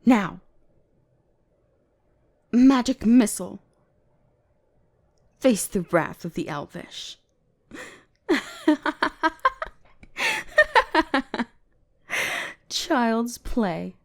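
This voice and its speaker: A woman's voice: a little high, lively intonation, very slow, creaky, pauses often, dark tone, exaggerated voice. A woman playing a bratty, haughty female elf mage, bossy, exasperated and condescending, in a scripted roleplay audio drama. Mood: confident, boastful.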